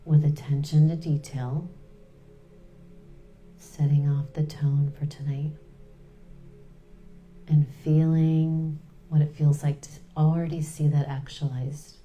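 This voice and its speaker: Woman doing guided meditation, slow and even, soothing, lots of pauses, soft music behind her. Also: low-pitched. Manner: soothing, unhurried, pausing between short phrases.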